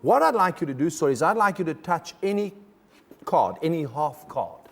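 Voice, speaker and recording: A German-born man hosts a magic show on television and keeps up a stream of jokes while he works the cards. His voice sits a bit high and swings widely in pitch. A long studio reverb hangs behind him. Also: sing-song, fairly bright tone. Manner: chatty, jokey, with quick laughs. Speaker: TV magician host. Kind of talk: comic magic show patter. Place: TV studio with audience.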